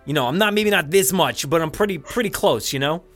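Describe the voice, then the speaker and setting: A man's voice: a little high, lively intonation, a little fast, fairly bright tone, faint music behind. A man with a New Jersey accent hosting a podcast in a casual, rambling, jokey and self-deprecating way. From New Jersey, USA. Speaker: podcast host.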